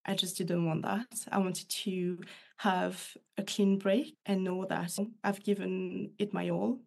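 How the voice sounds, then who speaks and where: A woman's voice: lowish voice, flat delivery, takes it slowly, gravelly. A woman with a French accent, a guest on a podcast interview, speaking in a reflective, thoughtful way. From France. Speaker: guest, new coach.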